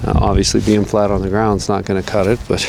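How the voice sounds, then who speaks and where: Man, outdoor gear vlog, gravelly, relaxed rambling talk, windy backyard, wind noise on camera mic. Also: on the low side, fast. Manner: relaxed, rambling, chuckles often. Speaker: outdoor vlogger. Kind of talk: casual gear-testing vlog. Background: strong wind.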